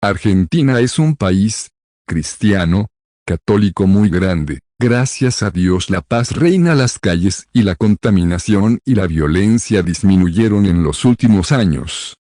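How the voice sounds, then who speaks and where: Dark-toned man as a devout citizen. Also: on the low side, animated, unhurried, dry recording, clean studio sound. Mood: devout, satisfied. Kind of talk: scripted street interview answer.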